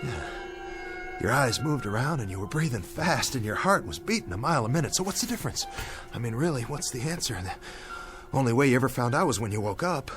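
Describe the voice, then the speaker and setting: An actor with an American accent playing a dazed, guilt-ridden young bomber captain in a radio drama, talking to himself in broken, searching phrases. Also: male voice, mid-range voice, animated, fairly quick, music in the background, natural voice. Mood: questioning, uneasy.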